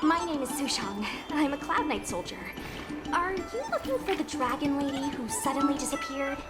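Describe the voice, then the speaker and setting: A woman's voice: sits high, very animated, moderate pace, over loud music. An actress playing an earnest, eager young female knight in a game, with a polite manner. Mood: polite, official.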